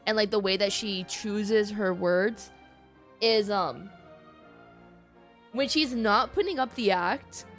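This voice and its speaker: A woman streamer giving casual, slangy and emotive live commentary on a game. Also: medium pitch. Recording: game music behind voice. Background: game music.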